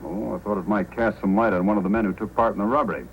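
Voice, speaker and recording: A man acting a steady, authoritative Mountie sergeant. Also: on the low side, fast talker, highs cut off, lightly acted. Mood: businesslike. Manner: authoritative, methodical questioning. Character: calm, courteous Mounted Police sergeant hero. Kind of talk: old-time radio acting. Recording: noisy old radio recording.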